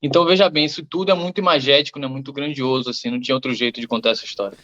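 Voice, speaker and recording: A man's voice: mid-range voice, fairly even intonation, very fast, bright, forceful tone, dull audio. A man from Salvador, a musician answering interview questions in a reflective, rambling way full of fillers. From Salvador, Bahia, Brazil. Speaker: musician guest.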